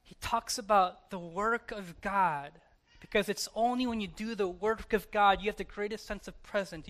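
Pastor, sermon delivery, bright forceful voice, emphatic, dropping to hushed then rising, repeating key phrases. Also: mid-range voice. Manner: rhetorical questions and repetition for emphasis.

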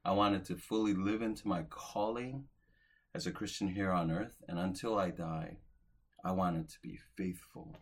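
Husky low-voiced pastor in his forties. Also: steady tone, moderate pace. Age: 40s. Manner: earnest, swells to emphatic lifts, then drops soft at phrase ends. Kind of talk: sermon.

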